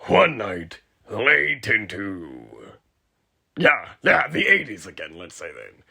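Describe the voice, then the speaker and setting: A man voicing a sinister older killer inside a haunted animatronic, rambling and theatrical with a raspy, gravelly voice, in a roleplay monologue to the listener. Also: lowish voice, very animated, slow pace, bright, forceful tone, pitched below the performer's own voice, heavily acted. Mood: theatrical, menacing. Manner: rambling, theatrical, mock-friendly.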